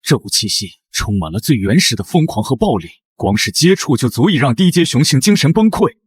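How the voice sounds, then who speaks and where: A man playing a formal, dutiful imperial marshal and analytical strategist in an animated drama, speaking briskly. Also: medium pitch, husky, no echo, studio quality. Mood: tense, alert.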